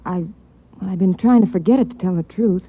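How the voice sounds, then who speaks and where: An actress playing a shaken young woman recalling a crime she witnessed in a 1940s radio drama, halting and stammering. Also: medium pitch, breathy edge. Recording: thin phone-like 1940s radio.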